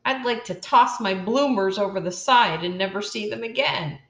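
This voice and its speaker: A woman's voice: mid-range voice, moderate pace, voice pushed up, close to an everyday voice. An older woman voicing a chatty, wealthy young girl in a storybook reading. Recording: roomy echo.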